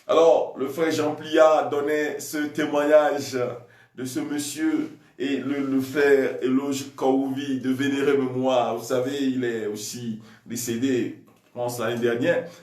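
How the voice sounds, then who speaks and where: A man from Togo, preaching a charismatic sermon as a priest and often shouting with drawn-out emphatic phrases. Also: medium pitch, little pitch movement. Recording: echoey room, voice from a distance.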